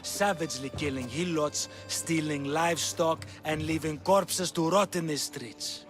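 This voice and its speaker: A man's voice: fairly high pitch, unhurried, soft background music, close to an everyday voice. A man voicing a courtly, condescending, sly Spartan king in dramatic video game acting. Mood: persuasive. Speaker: actor voicing a game character.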